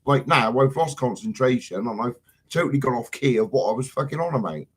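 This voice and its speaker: A man's voice: medium pitch, expressive, fairly quick, slightly rough. A man hosting a casual live stream, rambling, swearing often and losing his thread.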